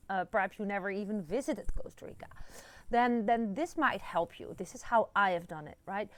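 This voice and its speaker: A woman's voice: medium pitch, dry recording. Dutch woman, 40s, chatty and encouraging vlogger with lots of fillers; phone camera outside, dry close sound. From Netherlands. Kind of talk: casual talk to camera. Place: outdoors in a rural yard. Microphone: phone camera at arm's length.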